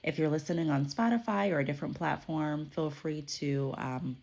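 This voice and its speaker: A woman's voice: low-pitched, normal speed, gravelly, a little mellow. A woman hosting her own podcast, explaining business topics in a conversational way while congested from a cold, often checking in with "okay?". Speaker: podcast host.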